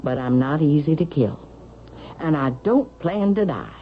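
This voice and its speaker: Casting: a haughty elderly Southern matriarch, low voice, sharp and demanding, old radio drama on a dull tape. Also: female voice, very animated, a little slow. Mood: cold, accusing. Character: proud, imperious, suspicious elderly Southern mother, wealthy widow. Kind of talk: vintage radio drama acting. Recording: dull vintage radio broadcast, faint noise.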